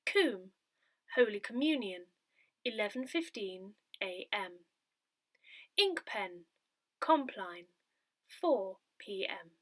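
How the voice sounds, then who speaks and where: A woman reading a parish newsletter and its notices aloud from a script, measured and careful with each detail. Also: medium pitch, animated, fairly bright tone. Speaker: reader of a parish newsletter audio edition.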